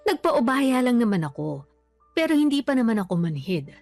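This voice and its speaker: A woman's voice: fairly high pitch, sing-song, moderate pace, a little mellow. A woman playing a long-suffering, resigned mother in a Filipino radio drama, speaking with patient, plaintive delivery. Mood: sad, patient. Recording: faint music behind.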